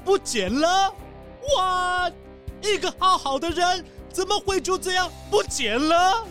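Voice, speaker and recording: A performer voicing an excitable, theatrical rich male tycoon in a children's audio drama, with soft music underneath. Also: very high voice, slow pace, heavily acted. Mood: flustered. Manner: animated, exclaiming, theatrical.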